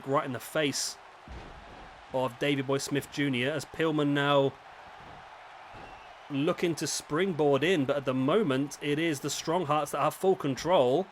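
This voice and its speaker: A man with a slightly rough, raspy throat, casually commentating a wrestling video game as the channel host, thinking aloud and correcting himself. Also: on the high side, steady tone, measured pace, pauses often, a little hiss or hum. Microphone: headset microphone.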